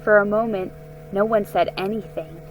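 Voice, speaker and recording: A woman giving a dramatic, expressive reading of a children's story, with a slightly halting delivery. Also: mid-range voice, highs cut off, a little hiss or hum. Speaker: amateur story reader. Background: music under the reading.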